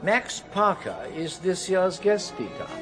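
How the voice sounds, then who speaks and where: An actor playing a wry, self-deprecating economist in a radio drama, dry and understated. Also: male voice, sits high, big pitch swings, unhurried, over music. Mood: droll.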